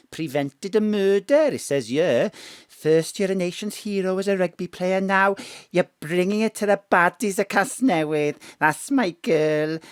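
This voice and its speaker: A man's voice: high-pitched, dry recording, clean studio sound. A man voicing a chatty, doting older mother who rambles in a sing-song way, in a radio play. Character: chatty older Welsh mam, fond and gossipy. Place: home studio.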